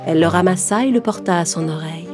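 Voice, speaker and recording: A woman's voice: fairly low pitch, quick pace. A woman narrator reading a scripted fairy tale for children. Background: background music.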